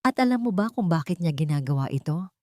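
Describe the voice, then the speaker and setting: A woman's voice: fairly low pitch, animated, fast, a little mellow, no room sound, studio quality, lightly acted. A woman voicing a rich matriarch, condescending and sweetly spiteful, in an audio love drama. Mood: mock pity.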